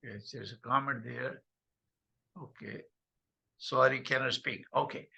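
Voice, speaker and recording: An older man hosting a video call meeting, speaking haltingly with many fillers. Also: medium pitch, fairly even intonation, creaky, sharp tone. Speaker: host.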